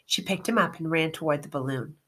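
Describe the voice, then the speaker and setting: A woman teacher reading a children's storybook aloud with expressive, clearly phrased narration. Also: low-pitched, fairly quick.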